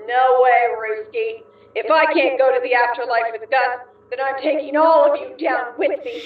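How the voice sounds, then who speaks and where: A woman's voice: high-pitched, moderate pace, phone-quality sound, lightly acted. A woman playing a jealous, scorned ghost lover in a radio drama, melodramatic and wounded. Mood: furious. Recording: echoing, distant, thin band-limited sound.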